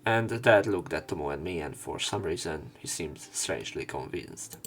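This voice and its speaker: A man reading a visual novel aloud as a let's play narrator, in an expressive storytelling style. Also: low-pitched, moderate pace, faint music behind.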